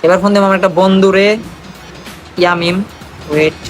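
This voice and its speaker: A man's voice: high voice, steady tone, brisk, soft background music. A young man with a Bangladeshi accent chatting casually as a vlogger, in a teasing, slangy way. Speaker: vlogger making prank calls. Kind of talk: casual vlog chat to viewers and on calls.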